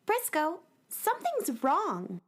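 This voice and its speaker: Woman acting a kind young heroine, extremely high voice, animated, earnest, children's space radio play. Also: slow, heavily acted. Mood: worried wonder. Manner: polite, earnest, concerned.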